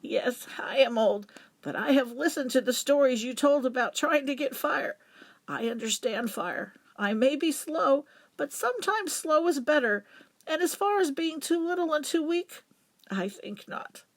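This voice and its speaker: A woman's voice: high-pitched, lively intonation, dry recording, pitched above the performer's own voice. A woman voicing a frail, humble old grandmother spider in a weak, patient, whispery voice for a storybook. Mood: humble, steady. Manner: patient, measured.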